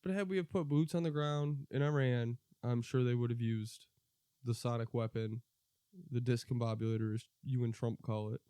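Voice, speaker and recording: A man's voice: mid-range voice, fairly even intonation, moderate pace, mellow, clear, resonant tone, no room sound, studio quality. An American man co-hosting a podcast, chatting casually about politics in a blunt, profane, opinionated way. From United States. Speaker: podcast co-host.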